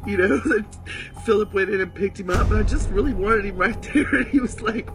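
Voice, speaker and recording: A man telling a personal story in a casual, halting way, quoting others as he goes. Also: high-pitched. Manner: halting, acts out quotes. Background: music.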